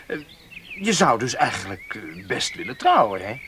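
An actor playing a smooth, scheming young student in a 1970s radio drama, coaxing with hesitant pauses. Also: male voice, medium pitch, very animated, close to an everyday voice. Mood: coaxing, persuasive. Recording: faint noise, 1970s radio recording.

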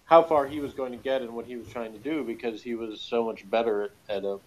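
A man's voice: mid-range voice, fairly even intonation, fast talker, low-quality recording. A man giving his opinions as a guest in a casual review discussion, rambling and hesitant, over an online call. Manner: rambling, hesitant, frequent "you know". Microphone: video call. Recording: faint background noise on online call.

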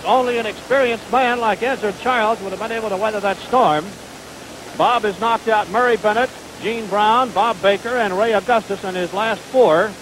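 A man's voice: high-pitched, noisy background. An American man calling boxing in the style of 1950s live television, on a vintage broadcast recording. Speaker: boxing commentator. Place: ringside at an indoor stadium.

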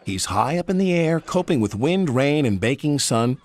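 A man's voice: mid-range voice, sing-song, moderate pace, a little mellow, pitched above the performer's own voice, close to an everyday voice. A man voicing a reproving, explanatory male steam engine in a children's story.

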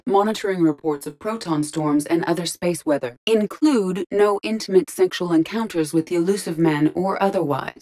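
A woman playing a calm, courteous artificial intelligence in a video game, speaking with precise, formal, measured diction and a deadpan manner. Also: fairly low pitch, lightly acted. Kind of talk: scripted video game dialogue.

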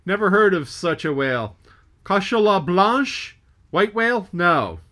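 A man reading an audiobook, voicing a surly, fed-up male ship's mate who answers gruffly and complains. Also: high-pitched, big pitch swings, a little slow, sharp tone, pitched above the performer's own voice, heavily acted. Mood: curt.

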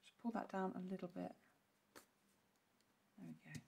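Croaky-voiced woman teaching a craft. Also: on the low side, fairly even intonation, moderate pace, mellow. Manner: chatty, clears throat often. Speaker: papercraft demonstrator. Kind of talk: casual step-by-step craft tutorial.

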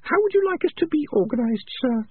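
A man voicing a calm, clever young female rat, heard through lo-fi phone-like audio. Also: extremely high pitch, quick pace, pitched above the performer's own voice. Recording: lo-fi phone-like sound.

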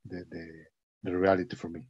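A low, husky man speaking halting English in an online class, his first language Spanish. He stumbles and repeats words. The call audio is dull and dry. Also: monotone, no room sound. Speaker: student in an English conversation class. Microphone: video call.

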